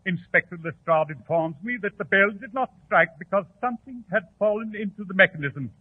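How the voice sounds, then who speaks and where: An actor playing a self-important, excitable male bank official in a 1940s radio drama. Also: high voice, a little fast, a little hiss or hum. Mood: accusing. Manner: excitable, emphatic, accusing. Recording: tinny, muffled old 1940s radio recording.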